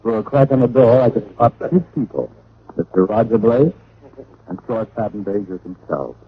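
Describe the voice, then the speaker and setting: Through a thin, narrow-band vintage radio recording comes a wry American gumshoe. His lowish voice tells the story in a cool, wisecracking, hard-boiled way. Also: expressive, moderate pace, slightly rough. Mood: tense, watchful. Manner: dry, wisecracking. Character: witty, hard-boiled male private detective, wry and cool. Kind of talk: old-time radio detective narration and acting.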